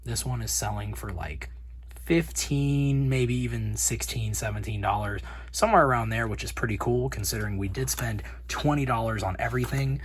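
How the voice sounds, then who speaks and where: A man's voice: medium pitch, normal speed. An American man hosting a video in a casual, slangy vlog style, chatting informally to his viewers. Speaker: host of a video channel about reselling collectibles.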